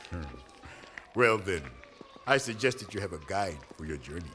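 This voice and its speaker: A man acting a calm, wise native guide in an audio drama, speaking in a measured, explanatory way. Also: deep voice. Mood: reassuring. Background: music.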